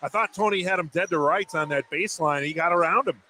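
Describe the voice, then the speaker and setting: A man's voice: sits high, fast, noisy background. A man working as a color commentator, giving conversational analysis during a live basketball broadcast.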